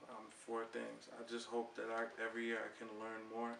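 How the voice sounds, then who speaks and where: A relaxed man spins an easy-going story as a guest on a casual talk show. He sounds far from the mic in an echoey room, with a faint background hiss. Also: mid-range voice, a little fast, gravelly. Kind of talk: casual interview chat.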